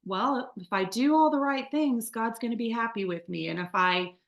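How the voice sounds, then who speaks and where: A woman's voice: a little high, normal speed, reverberant. A woman in her forties from the Midwest, sharing her life story as an interview guest in a candid, chatty way with many fillers and restarts. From Midwest United States. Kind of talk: conversational testimony.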